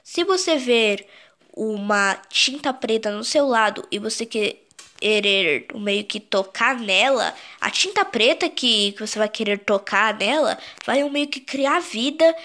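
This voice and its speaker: A Brazilian woman with a fairly high voice talks casually to viewers as a video creator. The delivery is unscripted and rambling, often circling back and repeating phrases while searching for the next word. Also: expressive, slow, sharp tone. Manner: rambling, repetitive, searching for words. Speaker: video creator explaining own animated horror episode. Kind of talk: casual unscripted recap commentary.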